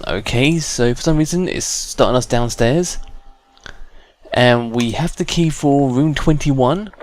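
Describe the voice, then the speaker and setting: A man's voice: mid-range voice, unhurried, a little hiss or hum. A man hosting a gameplay video, commenting casually and informally with playful exasperation. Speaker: gaming video host.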